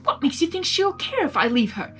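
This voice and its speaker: A woman's voice: very high-pitched, very animated, a little fast, soft background music, pitched above the performer's own voice. A woman voicing a dramatic male draconequus from a storybook, an insecure yet smug and lovestruck groom, with theatrical emphasis. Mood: self-doubting. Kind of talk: audiobook-style character reading.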